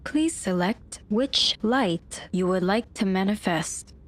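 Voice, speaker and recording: A woman's voice: medium pitch, animated. A woman voicing a polite, impassive helmet computer that gives formulaic announcements in an audio drama. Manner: formulaic, measured system announcements.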